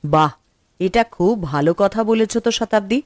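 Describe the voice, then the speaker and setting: A woman playing a responsible, earnest eldest sister in a cartoon, speaking in a measured, instructive way. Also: mid-range voice, dry recording, clean studio sound, natural voice. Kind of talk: Bengali cartoon story acting.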